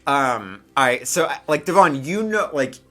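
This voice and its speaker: A man's voice: a little high, sing-song, unhurried, fairly bright tone, faint music behind. A man narrating a tabletop game as game master in a casual, conversational way.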